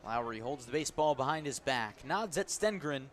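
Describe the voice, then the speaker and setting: A man's voice: expressive, normal speed. A man doing live radio play-by-play of a baseball game, describing the action and stressing the key moments. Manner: descriptive, lifts and stresses as each pitch comes. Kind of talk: live radio sports commentary. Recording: clear outdoor ambient noise behind voice. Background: outdoor ballpark ambience.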